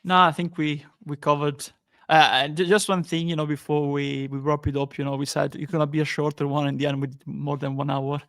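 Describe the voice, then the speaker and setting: Flat-toned man hosting a podcast. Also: a little high. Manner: halting, many restarts, upbeat. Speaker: podcast host. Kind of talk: casual football podcast chat.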